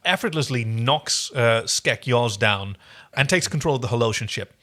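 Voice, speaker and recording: Enthusiastic guy doing a jokey tv recap podcast, bright forward voice. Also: medium pitch, animated, moderate pace. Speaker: podcast host. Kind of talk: casual TV episode recap chat.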